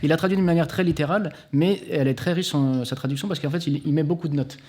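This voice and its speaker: Man, guest speaker, quick pace, mellow tone, explanatory, restarts sentences. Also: a little high. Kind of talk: explanatory talk.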